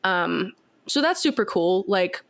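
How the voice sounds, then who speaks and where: A woman's voice: medium pitch, lively intonation, measured pace. A woman with a cold sounding congested, chatting on a podcast as she explains a science fact with lots of fillers. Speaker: podcast co-host.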